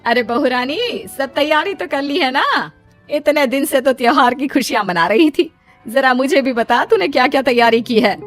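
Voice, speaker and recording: A woman's voice: high-pitched, normal speed, soft background music, voice pushed up, close to an everyday voice. A woman voicing an older, caring and fussy mother-in-law in a cartoon story, motherly and inquisitive.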